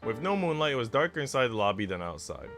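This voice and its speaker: A man livestreaming a visual novel, moving between casual, chatty musing and expressive story narration. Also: medium pitch, fast. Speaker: streamer reading a visual novel aloud. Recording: music playing behind the voice. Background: background music.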